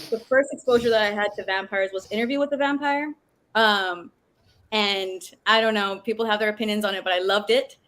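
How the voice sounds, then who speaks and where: A woman's voice: mid-range voice, a little hiss or hum. A woman actor in an interview, easygoing and thinking aloud with many fillers. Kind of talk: casual interview chat.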